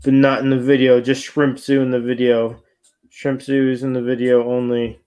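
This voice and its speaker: A man's voice: medium pitch, little pitch movement, normal speed, a little mellow, clear, resonant tone. A man hosting a livestream with laid-back, tentative, casual commentary. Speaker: livestream host.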